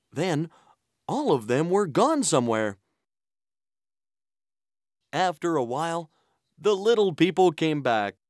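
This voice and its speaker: An actor playing an earnest, polite traveler who tells his adventure in an expressive children's story style. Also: fairly high pitch, dry recording, clean studio sound. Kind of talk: scripted children's story narration in character. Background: music.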